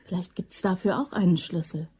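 Anxious, devoted young female accomplice for radio drama: very breathy, mellow. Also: fairly low pitch, a little fast. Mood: eager, conspiring. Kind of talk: German crime radio drama acting.

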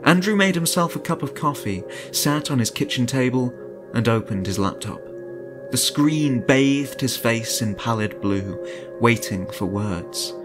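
A man narrating a horror story in a dramatic, suspenseful and deliberate audiobook style. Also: mid-range voice, lively intonation. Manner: suspenseful, deliberate, theatrical delivery. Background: music.

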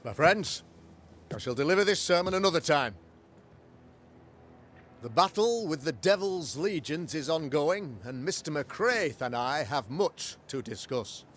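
An actor playing a pompous, pious, middle-aged preacher in a video game, with florid, oratorical delivery. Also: male voice, on the high side, expressive, measured pace, a bit bright, a little hiss or hum. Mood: grandiose preaching. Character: pompous, pious preacher, learned man of the cloth. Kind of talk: theatrical video game acting, sermonizing.

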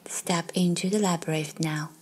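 Woman, meditation guide, low even voice, breathy near-whisper, soothing, long pauses, faint music behind. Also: low-quality recording.

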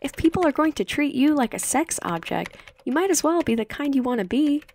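A bold, sassy high school girl acted out by a woman. She is outspoken and sarcastic, pitched fairly high, in an audiobook with faint noise. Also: big pitch swings, fairly quick, a little mellow. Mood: sassy, defiant. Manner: emphatic, sassy. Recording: slightly noisy home recording.

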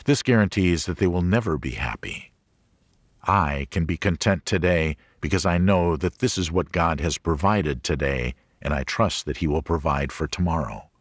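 A man reading a religious audiobook as a scripted narration, in a measured, clearly enunciated manner. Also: low voice, dry recording, studio quality.

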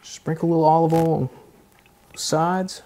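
A man in his forties hosting a home cooking show, explaining each step in a relaxed, easygoing way from his kitchen. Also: on the high side, normal speed, a little hiss or hum. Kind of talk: casual cooking demonstration.

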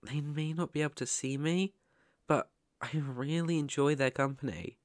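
A young British man voicing a shy, lonely but eager ghost in an ASMR roleplay, stammering, gentle and apologetic. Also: mid-range voice, dry recording, clean studio sound, lightly acted. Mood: wistful, soft.

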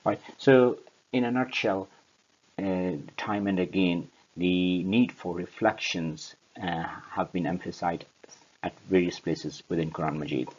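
A man giving a steady religious lesson, reciting verses and then explaining them. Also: low voice, a little slow, highs cut off. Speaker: speaker on Quran study.

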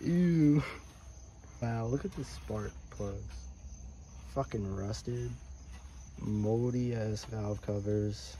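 A man casually vlogging as he explores old airplanes in a rural field, excited, swearing and talking to his viewers on a phone camera. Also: fairly low pitch, drawn-out words, pauses often, low-quality recording. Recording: phone audio outdoors with insect noise. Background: insects and crickets outdoors.

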